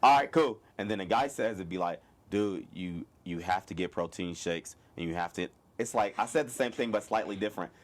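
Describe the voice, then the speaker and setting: A young man with a lowish voice co-hosts a casual podcast chat. He tells stories loosely, often repeating himself. The tone stays unhurried and conversational. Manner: laid-back, storytelling, repeats words. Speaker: podcast co-host.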